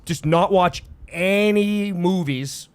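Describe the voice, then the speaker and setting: A man's voice: sits high, takes it slowly, clear, resonant tone. A man co-hosting a podcast, chatting casually, laughing often and swearing freely. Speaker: podcast co-host. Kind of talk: casual banter.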